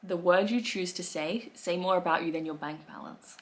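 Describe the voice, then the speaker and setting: A woman in her thirties with an Australian accent, chatting wryly to camera from her living room, with soft laughs and fillers. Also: fairly low pitch, normal speed. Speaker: lifestyle vlogger. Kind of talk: casual talk to camera.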